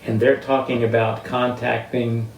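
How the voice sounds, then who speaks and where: An elderly American man tells personal stories at a slow, halting pace, pausing to find his words. He is picked up from a distance in an echoey room, with faint noise behind him. His delivery is reflective. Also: mid-range voice, level tone, vocal fry. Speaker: interviewee sharing life stories. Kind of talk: reminiscing personal storytelling.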